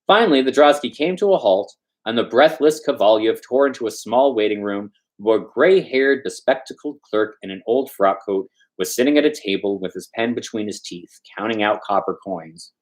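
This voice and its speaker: A man's voice: on the low side, moderate pace, hardly any pauses. A man reading a story aloud informally as host, stumbling over words now and then and slipping in loose asides.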